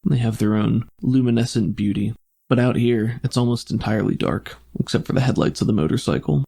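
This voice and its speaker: A man's voice: medium pitch, brisk, gravelly, dark tone, breathy edge. A man narrating an improvised tabletop roleplaying game as game master, describing scenes in cinematic detail.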